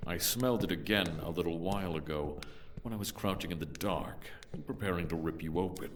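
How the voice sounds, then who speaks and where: An actor playing a huge, blunt, fierce barbarian warrior in a fantasy audio drama, speaking tersely and matter-of-factly. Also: male voice, low-pitched, fairly quick, recorded from a distance, a little hiss or hum, close to an everyday voice. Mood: grim.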